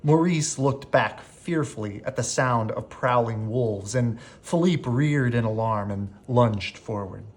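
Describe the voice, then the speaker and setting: A man reading a children's bedtime story aloud as an expressive narrator. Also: mid-range voice, unhurried, hardly any pauses, husky. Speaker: storybook reader.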